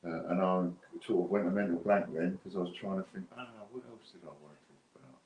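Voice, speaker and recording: A British man with an extremely deep voice rambles casually as he hosts a livestream. He talks unscripted to his viewers, often thinking aloud. The recording is echoey, with faint noise underneath. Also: fairly quick, dull audio. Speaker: host of an online raffle and giveaway stream. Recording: roomy echo with faint background noise.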